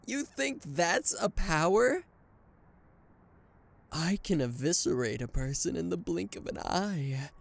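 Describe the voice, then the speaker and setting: A man's voice: a little high, animated, slow, creaky, full character voice. A man voicing a smug male anti-hero villain who gloats and teases a captured hero in an ASMR roleplay, with a mocking, flirtatious drawl. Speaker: voice actor.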